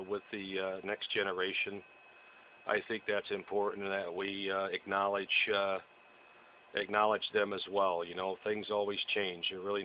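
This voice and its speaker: Male radio operator, lowish voice, casual halting chat, slightly noisy dull recording. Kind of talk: casual radio chat with call-and-reply procedure words. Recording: dull lo-fi audio with faint noise.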